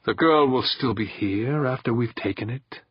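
A man's voice: medium pitch, normal speed, slightly rough, no echo, dull audio, close to an everyday voice. A man voicing a domineering space-pirate skipper in an audiobook, with a scornful, commanding grumble. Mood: insistent, sarcastic.

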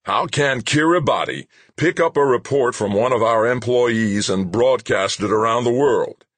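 A man's voice: on the low side, moderate pace, fairly bright tone, no echo, pitched above the performer's own voice. A man voicing a furious, bullying middle-aged media boss in an audiobook, bellowing with sarcasm and swearing. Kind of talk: audiobook character dialogue.

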